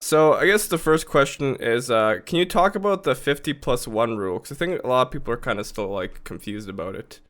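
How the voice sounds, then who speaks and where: A man co-hosting a casual football podcast, welcoming and interviewing a guest in a relaxed, friendly way. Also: medium pitch, a little fast, hardly any pauses. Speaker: co-host and interviewer.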